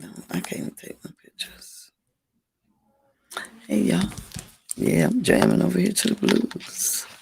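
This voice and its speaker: A hoarse, raspy-throated host of a casual livestream show, repeating station call-outs and coaxing viewers to like and subscribe. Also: mid-range voice, a bit bright, low-quality recording.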